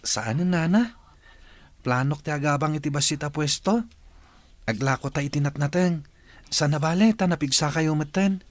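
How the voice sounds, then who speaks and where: A man's voice: on the high side, animated, normal speed, long pauses, no echo, natural voice. An actor playing a dutiful young man in a radio drama, earnest and respectful. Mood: hopeful.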